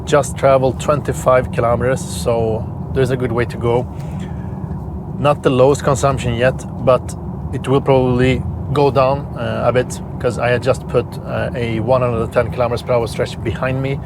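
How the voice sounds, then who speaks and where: Swedish man, non-native English, mid-pitched, casual car reviewer, audible road noise. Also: level tone. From Sweden. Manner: plain, explanatory, slightly halting with repeated words. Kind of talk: casual vlog narration while driving.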